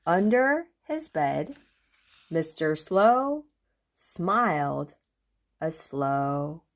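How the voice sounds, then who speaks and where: Expressive woman reading a storybook, very lively pitch. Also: drawn-out words. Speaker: children's book reader. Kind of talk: expressive storybook read-aloud.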